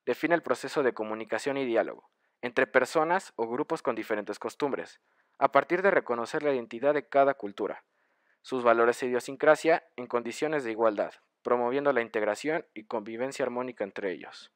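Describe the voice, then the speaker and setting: A man's voice: medium pitch, brisk, no room sound, studio quality. A man reading scripted explanatory narration for a documentary.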